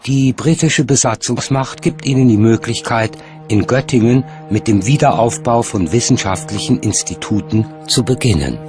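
A man's voice: medium pitch, normal speed, hardly any pauses, music in the background. A man reading scripted narration as the narrator of a documentary.

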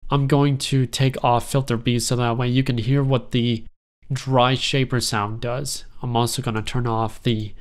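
A young man with a stuffy, congested voice explaining step by step how he builds a synth sound, in a relaxed, rambling way with lots of fillers. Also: mid-range voice, a little slow. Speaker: music production tutorial host. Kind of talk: casual screen-recorded software tutorial.

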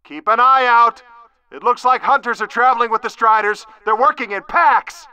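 A man's voice: extremely high pitch, moderate pace, pushed and bright, clear, resonant tone, dry recording, full character voice. An actor playing an alert male base announcer in a video game, calling out battle warnings in an urgent, clipped manner.

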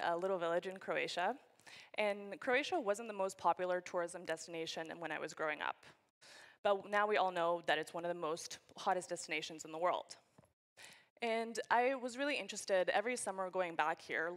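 A woman's voice: fairly low pitch, fairly bright tone. A young woman from Canada giving a keynote talk on a conference stage, speaking into a handheld microphone in a large hall.

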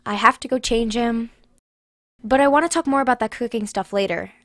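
A woman's voice: a little high, brisk, no room sound, clean studio sound, natural voice. A woman playing a blunt but caring grandmother in recovery, speaking earnestly in an audio drama. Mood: curious. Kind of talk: podcast audio drama acting.

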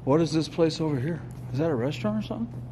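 A man filming himself exploring a closed casino at night, chatting casually with viewers in a hushed, curious way. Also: fairly high pitch, expressive, rapid-fire. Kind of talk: casual running commentary. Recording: outdoor noise behind.

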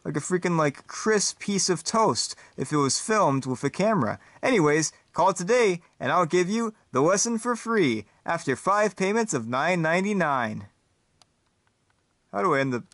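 A pushy infomercial announcer, acted by a man sells with over-the-top hype. He pitches his voice well above his natural range. The read is dry and upfront. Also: expressive, normal speed, fairly bright tone, dry recording. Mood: hyped.

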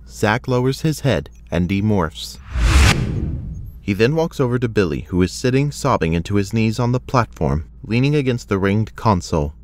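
A man's voice: fairly low pitch, animated, moderate pace, a little hiss or hum. A man reading scripted narration for an action audio drama.

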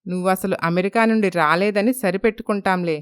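A woman playing an older, possessive mother in an animated moral story, martyred and reluctant in manner. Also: mid-range voice, a little fast, clear, resonant tone, natural voice. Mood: resigned, hurt.

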